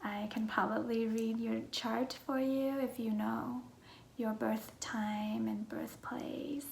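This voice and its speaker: Young woman, Vietnamese accent, casual vlog Q&A, soft breathy delivery, touch of vocal fry, faint background noise. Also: a little high, steady tone, slow, roomy sound. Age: young adult. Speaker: vlogger. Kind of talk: casual personal Q&A to viewers.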